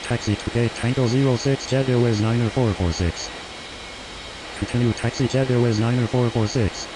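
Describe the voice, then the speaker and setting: A man's voice: medium pitch, steady tone, moderate pace. A man speaking formulaic air traffic radio calls as a pilot, in a procedural manner.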